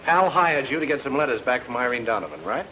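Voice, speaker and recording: Flippant private eye: rapid, animated delivery, breezy wisecracks, vintage radio mystery. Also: male voice, mid-range voice. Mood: brisk, businesslike. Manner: glib, flippant. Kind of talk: old-time radio detective drama acting. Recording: noisy old radio recording.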